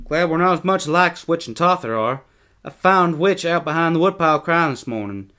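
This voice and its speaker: Man acting out excitable, high-pitched young boy. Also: fairly quick, clean tone. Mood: bickering. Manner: taunting, exclaiming. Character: excitable, bickering young twin boys in the woods. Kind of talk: acted storybook dialogue.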